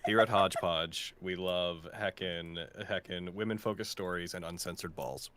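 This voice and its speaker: A man's voice: sits low, normal speed, fairly bright tone. A man in a casual, joking livestream chat with castmates, tossing in mild mock swears. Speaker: podcast voice actor and creator.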